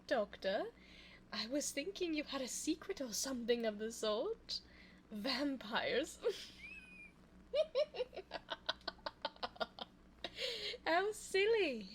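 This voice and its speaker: A woman playing a sly, evil, seductive immortal vampire in a close-miked ASMR audio roleplay, theatrical and coy, slipping into breathy asides. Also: sits high, full character voice. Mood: mocking amusement. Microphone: close binaural 3Dio microphone. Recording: faint background noise, close binaural mic.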